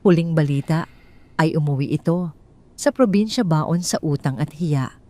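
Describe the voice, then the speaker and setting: A woman's voice: low-pitched, animated, normal speed, mellow. A woman with a Filipino accent reading a scripted romantic drama story as an audiobook narrator.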